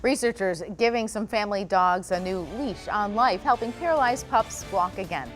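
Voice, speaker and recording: A woman's voice: mid-range voice, normal speed, soft background music, rough recording. An American woman reading scripted news as an anchor.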